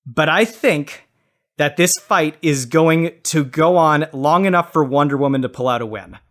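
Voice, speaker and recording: A man's voice: a little high, moderate pace, fairly bright tone. A man giving casual, long-winded explanations as the judge on a comedy debate podcast.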